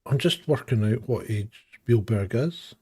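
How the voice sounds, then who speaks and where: A man's voice: medium pitch, lively intonation, unhurried, dark tone, breathy edge, no room sound. Chuckling man, dry sound. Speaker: podcast co-host. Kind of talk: casual live chat.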